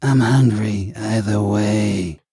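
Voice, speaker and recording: A man voicing a creepy, hungry monster posing as a senator's aide in an audiobook, speaking slowly and with menace. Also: fairly low pitch, fairly even intonation, warm tone, slightly rough, dry recording, clean studio sound, exaggerated voice. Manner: slow, menacing, drawn out. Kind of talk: audiobook character voice.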